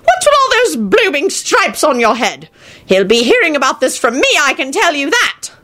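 A woman's voice: high voice, huge pitch swings, normal speed, bright, forceful tone, voice pushed up, heavily acted. A woman voicing a haughty, scolding, very old male wizard grandfather in a storybook reading, with pompous old-fashioned phrasing.

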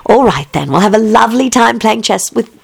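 A woman's voice: fairly high pitch, expressive, fairly quick, creaky, breathy edge, dry recording, studio quality, voice pushed up, full character voice. A woman voicing a chatty, doting nanny who talks in a folksy, gossipy way.

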